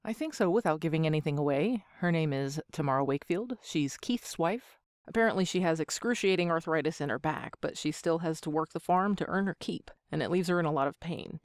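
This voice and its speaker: A woman's voice: sits low, lively intonation, a little fast, no room sound, clean studio sound. A woman in her thirties answering interview questions as an amateur voice actor, with a joking, self-deprecating manner. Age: 30s.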